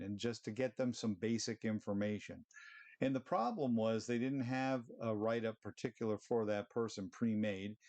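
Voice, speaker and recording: Casual male presenter, middle-aged, slightly low voice, chatty walkthrough of a screen demo. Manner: relaxed, conversational, fillers like "you know" and "um". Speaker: presenter of short tech tips.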